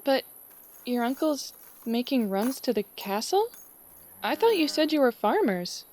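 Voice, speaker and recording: A woman playing a friendly, teasing young ranch girl in a fantasy audio drama, chatty and expressive. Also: sits high, unhurried. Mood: relieved, teasing.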